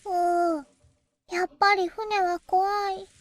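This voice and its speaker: Tiny weird little girl, game voice, super high n childish, drawn out words. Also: level tone. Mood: fretful. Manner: simple childlike phrasing, drawn-out cries.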